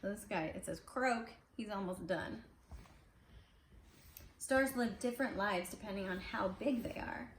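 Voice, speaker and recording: A woman's voice: mid-range voice, unhurried, long pauses. Casting: woman, parent reading to kids at home, conversational with explanatory asides, roomy sound with faint noise. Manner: conversational, explains pictures as she reads. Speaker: parent reading aloud to children. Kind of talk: casual picture-book read-aloud with asides to kids. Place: indoors at home.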